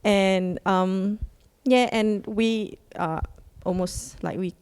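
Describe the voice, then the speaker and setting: A woman's voice: mid-range voice, steady tone, very slow, darkish tone, clear, resonant tone, long reverb tail. A woman, a podcast guest, who chats casually and fills her talk with "like" and "um". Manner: chatty, with frequent "like" and "um". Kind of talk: casual interview answers.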